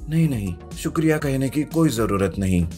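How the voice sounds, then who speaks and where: A man voicing a kind, brave poor onion seller in an animated story, speaking with well-meaning concern. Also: medium pitch, moderate pace, faint music behind, pitched above the performer's own voice, lightly acted. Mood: modest. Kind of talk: animated Hindi story character voice.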